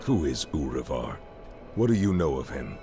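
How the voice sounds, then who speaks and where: Stern wandering hero, extremely low voice, curt, guarded, music behind, fantasy game. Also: male voice, very animated, moderate pace, vocal fry, heavily acted. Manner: terse, stern. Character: grave, guarded male wandering warrior hero. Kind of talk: dramatic fantasy game acting.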